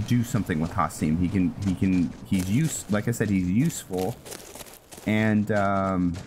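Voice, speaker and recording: A man giving casual roleplay game commentary, halting as he thinks aloud. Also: lowish voice, a little hiss or hum. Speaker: gameplay host and roleplayer. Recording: loud music behind the voice.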